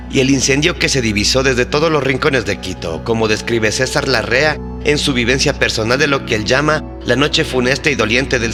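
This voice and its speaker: A man hosts and narrates a scripted mystery documentary. His mid-pitched voice tells the story in a formal, measured, dramatic way, and music plays clearly underneath. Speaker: narrator and host of a mystery channel.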